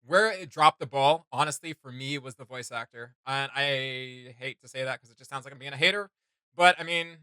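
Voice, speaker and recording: Bright, forceful man talking casually. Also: medium pitch, clean tone, no echo. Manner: emphatic, halting and repetitive, informal. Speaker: reaction video host. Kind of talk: casual opinionated reaction chat.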